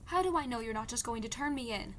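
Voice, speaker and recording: Young princess for audio drama woman performer: higher-pitched, blunt, skeptical and exasperated, faint ambience behind. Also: animated, fast, slight background noise, natural voice. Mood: doubtful. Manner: blunt, dry, doubtful. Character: skeptical, exasperated young princess fleeing arranged marriage, guarded. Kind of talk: scripted audio drama acting.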